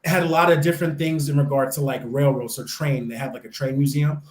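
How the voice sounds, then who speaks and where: A man's voice: on the high side, fairly even intonation, fast talker. An American man in his young adult years, chatting enthusiastically as an interview guest, with lots of fillers and restarts. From United States. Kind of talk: casual interview chat. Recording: echoey room.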